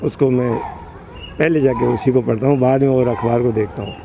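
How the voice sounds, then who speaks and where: A man's voice: mid-range voice, fairly even intonation, brisk, creaky, background noise. A man in his sixties answering a street interview, talking earnestly and at length. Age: 60s. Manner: earnest, emphatic, rambling. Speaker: interviewee, longtime reader.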